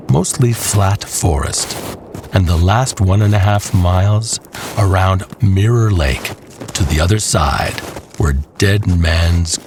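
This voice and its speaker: A man narrating a scripted horror western tale in a measured, deliberate way, with music behind him. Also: sits low, slightly rough.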